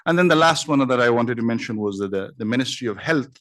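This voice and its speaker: A man's voice: medium pitch, lively intonation, racing, a bit bright. A man speaking as a conference panelist, hesitant, with many fillers and restarts. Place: conference breakout room.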